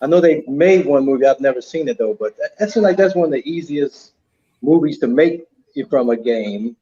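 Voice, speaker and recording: A man joining a live-streamed chat, talking in an earnest, halting way and repeating his words. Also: fairly high pitch, animated, warm tone. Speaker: co-host. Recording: dull lo-fi sound.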